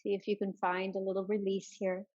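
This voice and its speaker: A woman leading a guided meditation as a yoga teacher, giving soft instructions with many pauses. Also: medium pitch, monotone, dull audio.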